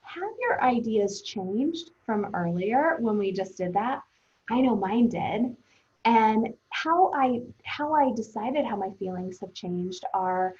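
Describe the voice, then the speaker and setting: A woman teacher thinking aloud for young pupils in a conversational, expressive read-aloud lesson, speaking to them directly. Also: a little high, dull audio.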